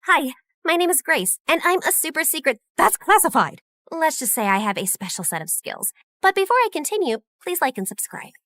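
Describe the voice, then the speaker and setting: A woman narrating a scripted animated spy story in the first person, dramatic and playful. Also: on the high side, dry recording.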